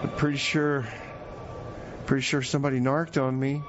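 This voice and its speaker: A man's voice: medium pitch, level tone, moderate pace, lightly acted. A man playing an anxious, eager radio DJ in a comedy radio skit, rambling hesitantly and repeating words. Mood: sheepish, rambling. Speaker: radio show host. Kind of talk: improvised comedy radio theater and music DJ chat. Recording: dull lo-fi sound, loud background noise.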